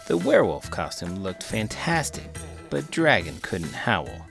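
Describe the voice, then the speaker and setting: A man with a low voice hosts storytime for children. His delivery swings widely in pitch, playful and expressive, with music playing behind him. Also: measured pace, creaky. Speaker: host of a children's read-aloud channel. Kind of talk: lively children's storytelling and chat.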